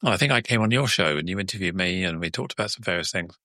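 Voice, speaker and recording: A man's voice: low-pitched, fast, pushed and bright, no echo, clean studio sound. A man hosting a conversational podcast interview, chatty and repeating words as he forms his questions. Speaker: podcast host.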